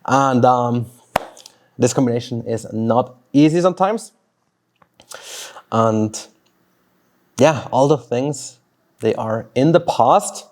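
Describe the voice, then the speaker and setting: Swiss man in his thirties, fitness coach vlogging outdoors; slow, reflective, mid-pitched delivery with pauses. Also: darkish tone. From Switzerland. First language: Swiss German. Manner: slow and thoughtful, with pauses and restarts. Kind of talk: reflective solo vlog monologue. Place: outdoors, birds and dogs nearby.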